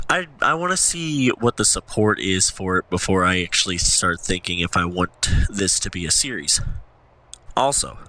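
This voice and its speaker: A man's voice: low voice, animated, moderate pace, sharp tone. A man with a stuffy nose from allergies, casually commentating his gameplay as a channel host, rambling and thinking aloud.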